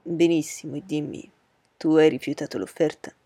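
Brief: woman playing an unflappable ironic dad, lowered husky voice. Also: fairly even intonation, warm tone, no echo. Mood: wry. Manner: lowered, measured, wry. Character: calm dry ironic older father.

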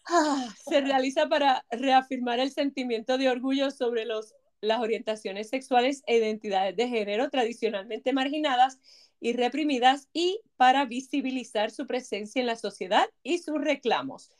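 High-voiced chatty woman co-host. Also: moderate pace. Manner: chatty, jokey, reads facts aloud. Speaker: podcast co-host. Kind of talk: casual chat.

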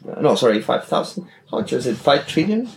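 A man's voice: medium pitch, very animated, brisk, creaky. A man from Chile, a guest on a podcast, talking casually and often stopping to search for words.

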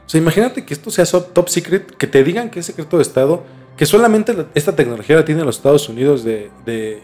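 A man with a Mexican accent hosting a casual podcast, telling stories informally and haltingly with repeated words. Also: on the high side, expressive, darkish tone. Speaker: podcast host. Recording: music under the voice. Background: background music.

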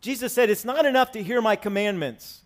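Male pastor, 40s, slightly high voice; sermon delivery alternating emphatic and conversational. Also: fairly quick. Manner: swings from loud preaching to soft asides, conversational. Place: church sanctuary with congregation.

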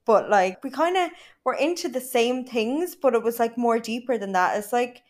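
A woman's voice: on the high side, animated, moderate pace. A young Irish woman chatting as a podcast guest, gushing and filling her talk with "like". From Ireland. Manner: gushing, lots of "like". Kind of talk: casual chat.

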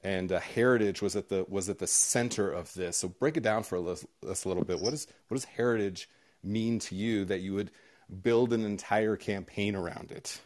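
Low-voiced, laid-back male host. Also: moderate pace, darkish tone. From Southern California. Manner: casual and upbeat, with many "uh" fillers. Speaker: host, moderator. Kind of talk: casual panel moderation.

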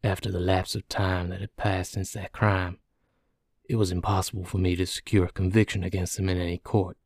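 A man voicing a calm, weary, doomed prisoner who confesses slowly and methodically in an audiobook. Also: low voice, no echo, studio quality. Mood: methodical, resigned. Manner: slow, placid, methodical. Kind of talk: audiobook character dialogue.

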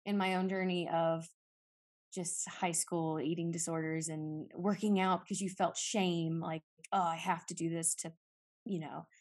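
A woman's voice: on the low side, flat delivery, a little slow. A woman co-hosting a podcast, chatting in a rambling, personal way with lots of fillers. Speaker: podcast co-host. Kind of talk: casual podcast chat.

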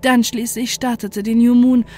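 A woman's voice: a little high, flat delivery, normal speed, darkish tone, slight background noise, natural voice. A woman playing a defiant, desperate scientist who narrates a horror story in an intense, dramatic way. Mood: grave narration.

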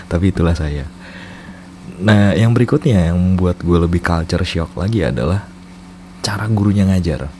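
A man with a low, mellow voice and a Jakarta accent talks casually as a storyteller. He mixes slang with bits of English and pokes fun at himself. A clear hum and noise sit behind the voice. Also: normal speed, pauses often. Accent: Jakarta Indonesian. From Indonesia, Jakarta. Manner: self-mocking, informal slang. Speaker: storyteller host. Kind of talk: casual personal storytelling with English code-switching.

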